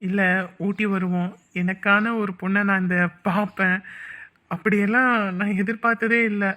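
A woman playing a humble, sincere young man in love in a romantic drama, earnest and halting. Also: mid-range voice, level tone, sharp tone, close to an everyday voice. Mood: tender, curious. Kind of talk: romantic story drama acting.

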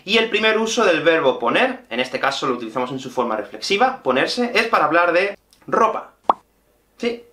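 A man in his thirties from Spain with a Peninsular Spanish accent, teaching a language lesson to camera in an expressive, explanatory way. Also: a little high, moderate pace. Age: 30s. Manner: expressive, explanatory, addresses viewers directly. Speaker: Spanish teacher and video host. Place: small indoor room with whiteboard and bookshelf. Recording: echoey small room.

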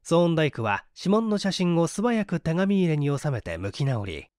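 A man reading a mystery story as a scripted dramatic narration, with deliberate theatrical storytelling. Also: on the high side, sing-song, darkish tone, smooth, dry recording, studio quality. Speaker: audiobook narrator, stage performer.

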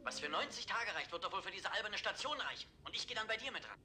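A man's voice: high voice, sing-song, fast. An actor in his thirties playing a fatalistic, sardonic astronaut in a 1960s German television science fiction drama, speaking tersely. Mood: sardonic, tense. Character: edgy, fatalistic young male astronaut, sardonic under threat. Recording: 1960s TV soundtrack with loud music.

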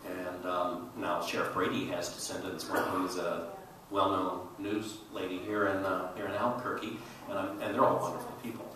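An older man speaking as a historian on a panel, telling history to an audience in a measured, halting way. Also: low-pitched, level tone. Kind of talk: storytelling panel talk to an audience. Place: large auditorium stage. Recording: roomy echoey hall, distant mic, faint noise.

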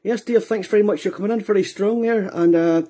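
A Scottish man makes contact as an amateur radio operator. His voice is high for a man, very soft and dark, with a husky edge. He is polite and slightly hesitant, using procedural radio talk. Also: a little fast. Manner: courteous, hesitant, spells out call signs. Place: indoor radio room.

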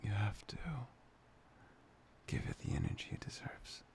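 A man playing a tender, playful boyfriend in an intimate ASMR roleplay, breathy and often slipping into a whisper. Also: moderate pace, lightly acted. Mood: playful, teasing. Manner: hesitant, intimate, soft-spoken. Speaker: ASMR voice performer.

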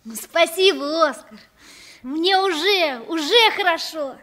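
A woman playing an earnest, halting nine-year-old runaway orphan boy in a 1960s radio play. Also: extremely high pitch, animated, fairly bright tone, full character voice. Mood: hopeful.

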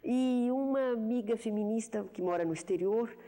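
A woman in her fifties, a writer being interviewed on television, answering in a measured, reflective way with frequent hesitations. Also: fairly high pitch, dark tone, long reverb tail. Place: television studio. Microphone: lapel mic.

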